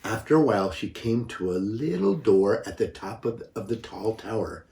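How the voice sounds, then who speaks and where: A man's voice: lowish voice, animated, normal speed, a little mellow. An older man, a grandfather, reads a children's storybook with dramatic emphasis and an occasional stumble over words. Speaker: grandfather reading stories. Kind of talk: children's storybook narration with homely asides. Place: echoey room, away from the mic. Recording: roomy, echoing, distant microphone.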